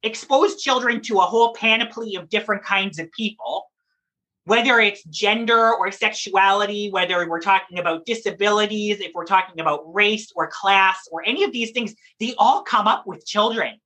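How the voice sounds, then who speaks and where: A drag performer giving conversational interview answers in an animated, explanatory, emphatic way. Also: medium pitch, moderate pace, poor audio.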